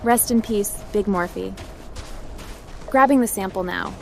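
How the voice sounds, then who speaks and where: A woman's voice: medium pitch, takes it slowly, a little hiss or hum. A woman voicing a playful, plucky female hacker adventurer in a video game, with a teasing manner. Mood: light, wry. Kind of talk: video game character dialogue.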